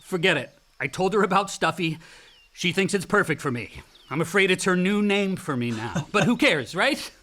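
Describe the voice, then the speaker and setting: An actor playing an outdoorsy, slightly self-doubting grown son with a casual, effusive manner in a naturalistic radio drama. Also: male voice, high-pitched, expressive, normal speed, sharp tone. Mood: upbeat, casual. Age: young adult.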